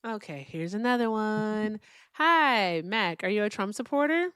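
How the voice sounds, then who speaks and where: A woman's voice: medium pitch, expressive, measured pace, a bit bright, clean tone, no echo, clean studio sound. A woman hosting a live-stream debate, mocking callers in brash, casual trash talk and sounding tired.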